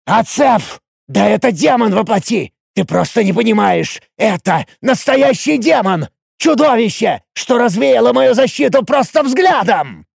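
A man voicing an enraged, panicking fantasy nobleman who shouts and snarls his curses in an audiobook. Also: high voice, very animated, moderate pace, vocal fry, dry recording, clean studio sound, pitched above the performer's own voice, heavily acted. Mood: furious, panicked.

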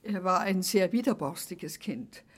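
Radio drama matron: stern, formal older wife, very husky tone. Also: female voice, lowish voice, lively intonation, measured pace. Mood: disapproving. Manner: severe, formal. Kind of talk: German radio play acting.

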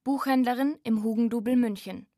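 Eine Frau als Sprecherin, die einen geschriebenen Dokumentartext vorträgt. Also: female voice, on the high side, a little fast, mellow, dry recording, studio quality. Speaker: narrator. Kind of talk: scripted documentary narration.

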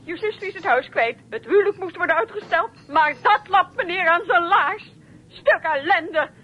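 Shrill angry mom on a muffled phone, super high, scolding n sarcastic, radio play. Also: female voice, animated, normal speed, sharp tone, exaggerated voice. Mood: furious, scornful. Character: furious, scolding mother on the telephone. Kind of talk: Dutch radio play acting. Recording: dull, noisy telephone line.

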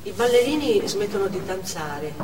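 A woman's voice: medium pitch, lively intonation, normal speed, natural voice. Actress as shaken woman hostage, distant and echoey. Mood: shaken recollection. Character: woman hostage in the audience recalling the siege, shaken, wry. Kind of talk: dramatic first-person stage monologue. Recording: echoey theatre, distant mic, clear background noise.

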